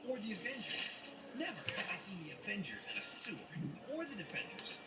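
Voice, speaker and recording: Cartoon series: young costumed hero, quippy and brave, very dynamic delivery, distant sound with loud score. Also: male voice, high voice, huge pitch swings, fairly quick, over loud music. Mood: wry, joking. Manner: quippy, wry asides. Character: young male costumed superhero, wisecracking, brave. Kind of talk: animated series voice acting.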